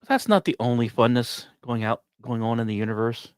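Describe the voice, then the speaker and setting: Male podcast host, mid-pitched voice, scripted science narration, measured storytelling, deliberate pauses, very dry close sound. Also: expressive, a little mellow, no echo.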